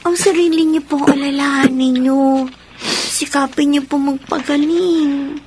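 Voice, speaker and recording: A caring young child looking after a sick parent in a radio drama. The high voice is gentle, pleading and respectful, over clearly noisy audio. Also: female voice, steady tone, a little slow. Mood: worried.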